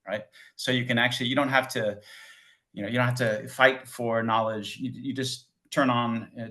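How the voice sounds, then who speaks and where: A man's voice: mid-range voice, a bit bright. An American man in his forties or fifties asking a long question from the audience, with many ums and uhs. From United States. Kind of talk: question from the floor.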